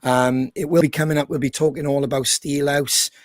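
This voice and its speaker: A hoarse, croaky man hosting his band's podcast in a chatty way, with frequent ums. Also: a little high, steady tone, brisk, dry recording, studio quality. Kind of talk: casual chat.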